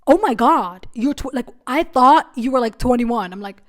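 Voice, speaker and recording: Chatty young woman with a high voice. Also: normal speed. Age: 20s. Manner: chatty, animated, self-mocking. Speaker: podcast host. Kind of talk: casual solo podcast chat.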